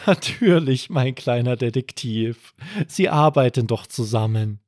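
A man reads as a caring storybook mother. The delivery is unhurried and gentle, lightly teasing and reassuring toward a small child. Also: medium pitch, no echo, clean studio sound, close to an everyday voice. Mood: amused. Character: caring, amused mother soothing her young son.

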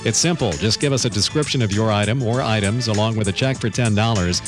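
A man's voice: fairly low pitch, fast. A man reading a recorded radio promo in a plain, instructive way. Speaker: radio announcer. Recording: promo with loud guitar music bed. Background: guitar music.

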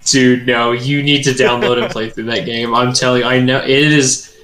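A man's voice: medium pitch, level tone, moderate pace, a crowd in the background. A man in casual, enthusiastic conversation as a gaming show co-host, with slangy talk and plenty of swearing. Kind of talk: casual chat. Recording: roomy echo.

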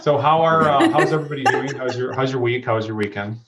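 A man's voice: mid-range voice, quick pace, noisy background. A man hosting a casual podcast, easygoing and jokey. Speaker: podcast host. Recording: dull lo-fi sound.

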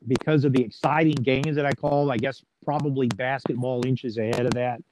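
A man's voice: mid-range voice, fairly even intonation, fairly quick, background noise. A man talking casually as an interview guest, folksy and plain-spoken, with a joking streak. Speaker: sports play-by-play announcer as interview guest.

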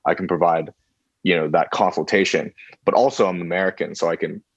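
A man who grew up in China, chatting casually as a guest, talking in a relaxed, rambling way with lots of fillers. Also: sits low, brisk, breathy edge, no echo, lo-fi sound. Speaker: guest musician. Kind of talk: casual conversation.

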